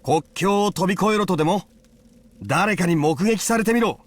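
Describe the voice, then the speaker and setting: An actor playing a brisk, officious and irritable male aide in a video game, giving clipped, deadpan orders and dry grumbles. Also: high voice. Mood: insistent. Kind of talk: Japanese video game voice acting.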